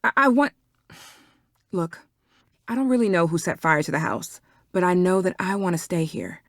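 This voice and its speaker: An actress playing a guarded, defensive young herbalist shopkeeper in a video game, curt and suspicious in manner. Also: female voice, lowish voice, expressive, moderate pace, dry recording, studio quality. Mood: upset, pleading.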